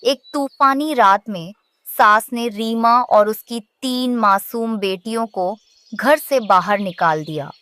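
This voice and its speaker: Slow, higher-pitched woman narrating a story. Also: a bit bright, clear, resonant tone, no echo. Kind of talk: scripted children's story narration.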